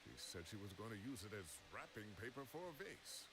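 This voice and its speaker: Noisy game audio, polite male official, animated intonation, earnest, expressive. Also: fairly low pitch, fairly quick. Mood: musing. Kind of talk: video game voice acting.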